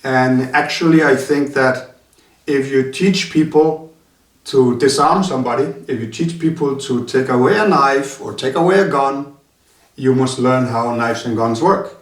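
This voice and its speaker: Man, halting speech full of fillers, echoey room, mic set back. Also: medium pitch. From Denmark. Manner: halting, many filler sounds. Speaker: martial arts instructor. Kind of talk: casual interview talk. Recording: roomy sound from a distant mic.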